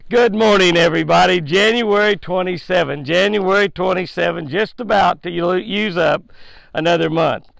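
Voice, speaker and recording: Old guy doing a devotional by the lake, gravelly and kinda high, repeats himself a lot. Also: normal speed, fairly bright tone, dry recording, faint music behind, rough recording. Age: older. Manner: repetitive, emphatic, folksy preaching. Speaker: fishing show host. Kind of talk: daily devotional with a fishing tip. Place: outdoors by a lake. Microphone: headset mic.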